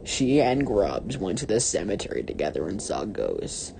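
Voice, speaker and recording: A woman narrating a story in a mock-dramatic style, with deliberate, theatrical phrasing. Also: a bass voice, very animated, creaky, noisy background. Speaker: story reader.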